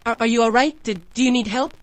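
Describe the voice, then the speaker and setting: A woman's voice: fairly high pitch, fairly even intonation, quick pace, lightly acted. A woman playing an anxious, protective mother in a horror radio drama, soothing but hesitant and shaken. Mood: wary, frightened.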